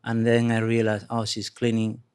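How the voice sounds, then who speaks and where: Low, flat-voiced man. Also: a little hiss or hum. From Spain. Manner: earnest, halting, restarts often. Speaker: audience member sharing a testimony.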